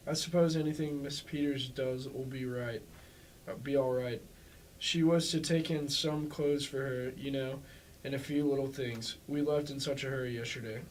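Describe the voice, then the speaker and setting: A man's voice: medium pitch, steady tone, normal speed, lightly acted. A man playing a dismissive small-town sheriff in an amateur staged play reading. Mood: dismissive, casual. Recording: distant mic with faint background noise.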